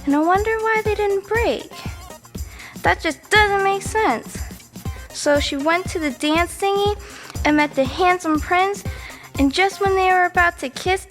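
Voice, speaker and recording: A woman's voice: very high voice, measured pace, fairly bright tone, soft background music. A woman playing a chatty, easily sidetracked little girl who rambles through a bedtime story with naive asides and self-corrections. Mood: earnest, chatty. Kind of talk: children's storybook monologue. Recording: long reverberant room echo.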